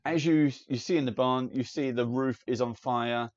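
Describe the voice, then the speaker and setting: A man narrating as game master in a relaxed, meandering way, in dull lo-fi audio with faint hiss. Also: medium pitch, moderate pace.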